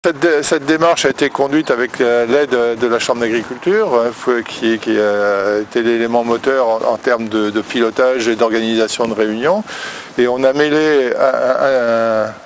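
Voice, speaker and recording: A man's voice: mid-range voice, steady tone. Hesitant Frenchman over outdoor background noise. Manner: hesitant, searching for words, halting phrasing. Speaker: local elected official, vice-president of a rural district council. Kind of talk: spoken interview testimony.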